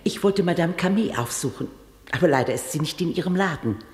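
A woman's voice: fairly low pitch, expressive, quick pace, darkish tone, natural voice. An actress in a radio drama playing a courteous, formal lady writer. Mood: polite. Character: polite, formal lady writer calling on someone. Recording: echoey hall with long reverb.

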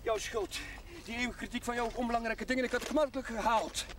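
An actor playing a restless, headstrong village chaplain in a television drama, earnest and wry, quick to retort. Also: male voice, very high-pitched, animated, creaky, background noise, heavily acted. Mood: irritated, defensive.

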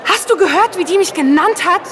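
A woman's voice: extremely high pitch, lively intonation, a little fast, fairly bright tone, some chatter behind. An actress playing a defiant, foul-mouthed woman in her twenties in a radio drama, brash and snappy. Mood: furious, indignant. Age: 20s. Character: defiant, foul-mouthed young underground woman under arrest.

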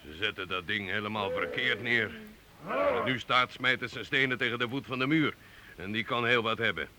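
An actor playing an arrogant, scornful medieval knight in a theatrical radio drama for youth, pompous, mocking and domineering. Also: male voice, mid-range voice, sing-song, moderate pace, natural voice. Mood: gloating, scornful. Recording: old radio recording with faint noise.

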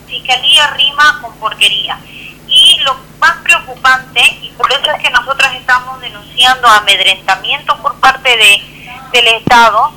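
Bright, forceful woman on a phone line. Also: a little high. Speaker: activist guest phoning in. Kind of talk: spoken statement in a phone interview. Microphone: telephone. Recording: noisy, harsh telephone call.

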